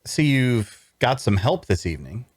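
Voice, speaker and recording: A man's voice: medium pitch, sing-song, moderate pace, dry recording, studio quality. An actor playing an earnest, cash-strapped traveler, telling his tale in first-person fantasy narration and dialogue. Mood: polite. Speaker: narrator and lead actor in a table read.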